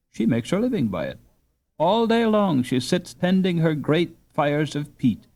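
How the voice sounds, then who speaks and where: Expressive man narrating a storybook. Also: medium pitch. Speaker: audiobook narrator.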